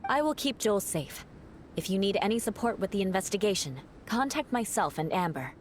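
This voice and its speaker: Aloof young knight captain, mid pitch, cool and clipped with expressive turns voiced by a woman. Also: fairly quick, slight background noise, lightly acted.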